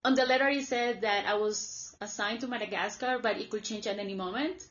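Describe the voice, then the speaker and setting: A woman's voice: mid-range voice, fairly even intonation, fairly quick, fairly bright tone, muffled recording. A woman in her thirties from Colombia, a native Spanish speaker, telling her story in a casual interview with lots of filler words. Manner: chatty, many "like" fillers. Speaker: guest, former volunteer.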